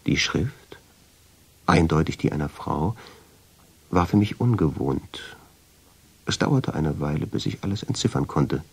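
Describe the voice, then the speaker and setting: Casting: haunted storyteller for radio drama, very low husky voice, hushed and deliberate delivery. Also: male voice, lively intonation, exaggerated voice. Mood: pensive, curious. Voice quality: gravelly, husky, breathy. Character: haunted male narrator uncovering old family letters. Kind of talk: first-person radio drama narration.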